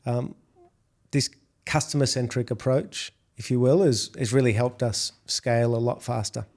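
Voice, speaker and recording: A middle-aged Australian man with an Australian accent, answering podcast questions in a measured way and often restarting on repeated words. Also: medium pitch, dark tone. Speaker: podcast guest, food delivery executive. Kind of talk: business interview talk.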